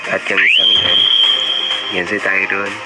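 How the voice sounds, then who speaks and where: A man's voice: sits low, completely flat delivery, a little slow, rough recording. A young Filipino man playing an earnest college student who narrates an amateur motion comic. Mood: reflective. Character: earnest male college student dreaming of fashion design. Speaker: narrator and lead character. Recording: loud music over the voice.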